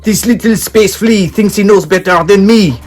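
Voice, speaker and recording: Man doing a loud blustering pirate captain. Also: sits high, normal speed, faint music behind, voice pushed up. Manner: loud, bossy, shouting.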